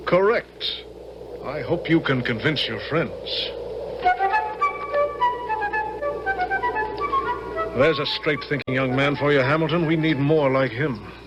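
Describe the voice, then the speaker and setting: A man playing a resolute, courteous army general in a radio drama, speaking in a measured, formal, authoritative way. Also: medium pitch, highs cut off, music in the background, close to an everyday voice. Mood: genial, courteous. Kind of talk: 1970s radio drama acting. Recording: noisy vintage radio broadcast.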